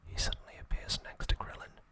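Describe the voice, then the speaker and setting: A man whispering softly in short, measured phrases as he narrates an ASMR recap. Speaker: ASMR channel host.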